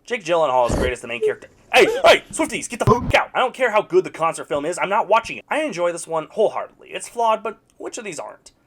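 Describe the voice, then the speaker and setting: A man's voice: sits high, sing-song, fast talker, hardly any pauses, a bit bright, a little hiss or hum. A man reviewing video game movies in a scripted, casual voice-over, wry and sarcastic with mock-exasperated outbursts.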